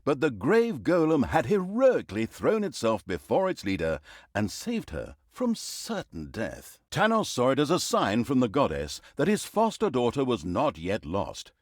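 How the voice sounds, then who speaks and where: An actor playing a sarcastic, pompous storyteller, narrating a fantasy video game with dry, mock-dramatic humor. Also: male voice, mid-range voice, wildly swooping pitch, moderate pace, dry recording. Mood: mock-epic drama. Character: sarcastic, pompous male storyteller of evil deeds. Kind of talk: theatrical scripted fantasy narration.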